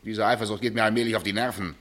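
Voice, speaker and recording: An actor playing a callous, philandering middle-aged husband, sardonic and biting, in a radio play. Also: male voice, fairly low pitch, fairly even intonation, rapid-fire, a bit bright, lightly acted. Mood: irritated, biting.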